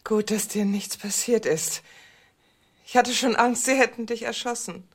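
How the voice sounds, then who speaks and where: An actress playing an anxious, deceitful middle-aged wife in a radio drama, breathless and agitated. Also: female voice, fairly high pitch, a little slow.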